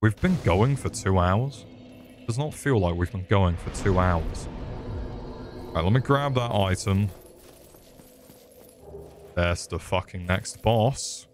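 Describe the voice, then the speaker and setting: Sweary guy streaming a game, talks slow, kinda low voice, crude sarcastic jokes. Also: lively intonation, music in the background. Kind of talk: swearing live gameplay commentary.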